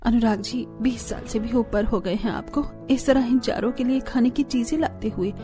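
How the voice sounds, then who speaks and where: Woman playing a practical, gently scolding mother. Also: a little high, steady tone, normal speed, over music, natural voice. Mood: reasoning, concerned. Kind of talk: cartoon family drama dialogue.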